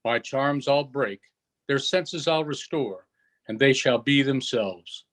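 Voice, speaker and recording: A man acts out a commanding old sorcerer in a stage play, reading verse at a slow, measured pace. He declaims sternly, with room for mercy, in a mid-pitched voice. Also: a bit bright. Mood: grave, merciful.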